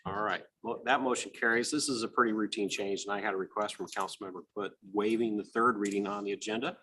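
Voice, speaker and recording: A man with a Midwestern American accent chairing a city council meeting, moving briskly through agenda items. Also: fairly low pitch, fairly even intonation, bright, forceful tone, slight background noise. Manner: brisk, procedural.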